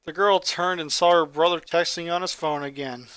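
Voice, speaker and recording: A man reading a story aloud as scripted narration, with dramatic, expressive delivery. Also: on the high side, moderate pace, smooth, no room sound. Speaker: narrator, fan fiction reader.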